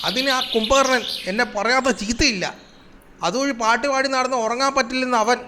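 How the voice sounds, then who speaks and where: High-pitched, grumbling cartoon trickster animal. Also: male voice, normal speed, noisy background. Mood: grumbling, indignant. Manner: animated, exasperated, explains schemes with sound words. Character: cunning, grumbling trickster animal, a scheming jungle schemer. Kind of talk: Malayalam children's cartoon comedy acting.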